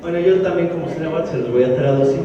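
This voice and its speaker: A man's voice: on the high side, fast, very noisy. A man with a Mexico City accent giving a conversational public lecture, with joking asides and frequent restarts. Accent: Mexico City Spanish. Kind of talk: public lecture to a live audience. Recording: echoey hall from far off, dull. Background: audience in a large hall.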